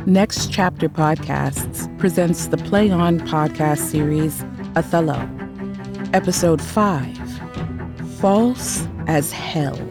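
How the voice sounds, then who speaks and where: A female podcast announcer with a lowish voice delivers a scripted introduction. She takes it slowly and deliberately over clear guitar music. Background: prominent guitar music.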